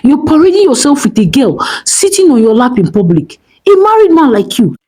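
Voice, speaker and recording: A woman doing a sharp-tongued young woman. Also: sits high, very animated, fairly quick, voice pushed up. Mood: furious.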